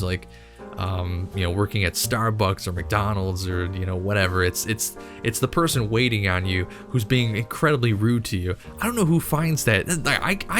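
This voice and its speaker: Low-voiced man chatting casually over music. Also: fairly bright tone. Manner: rambling, incredulous joking with frequent self-interruption. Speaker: YouTube cartoon channel host.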